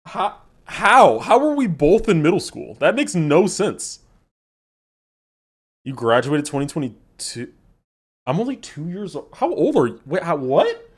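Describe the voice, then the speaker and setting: A man in his twenties chatting casually with his live-stream chat, laughing and swearing in quick asides. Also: fairly high pitch, big pitch swings, husky. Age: 20s.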